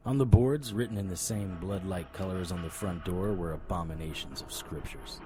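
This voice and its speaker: An actor playing a brooding, wry investigator who narrates a noir-style audio drama in a dry, hard-boiled manner. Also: male voice, deep voice, moderate pace, lightly acted. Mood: grim, wry. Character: brooding, wry, stubborn male supernatural investigator. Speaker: narrator and lead character.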